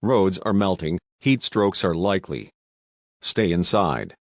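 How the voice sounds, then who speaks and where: Man playing a deep-voiced weather forecaster. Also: expressive, unhurried, no echo. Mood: serious. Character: TV weather forecaster giving emergency warnings. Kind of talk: mock weather bulletin.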